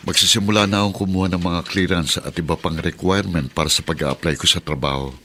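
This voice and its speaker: A man playing a protective Filipino father in a radio drama, with a raspy, emotional delivery. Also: low voice, steady tone, quick pace, bright, forceful tone, slight background noise. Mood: reassuring, subdued. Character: weakened but protective Filipino father, easily roused.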